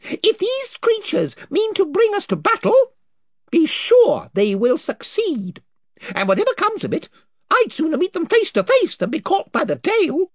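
A man's voice: huge pitch swings, measured pace, no echo, studio quality, heavily acted. A man voicing a proud, valiant little male mouse knight who speaks in a bold, formal manner. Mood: stern. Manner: bold, formal, high-flown. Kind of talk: audiobook character voice.

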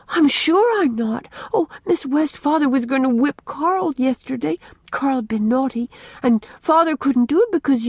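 A woman's voice: on the high side, a little mellow, breathy edge, no room sound, pitched above the performer's own voice. A woman voicing an earnest, timid young girl who pleads in a breathless, eager way. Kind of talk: audiobook character reading.

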